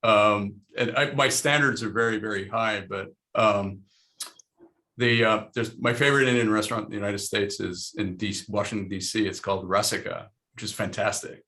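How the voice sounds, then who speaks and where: A man's voice: lowish voice, steady tone, normal speed, bright, forceful tone. A middle-aged man from Lebanon, a panel guest and investor, talking frankly in a casual, rambling way with many restarts.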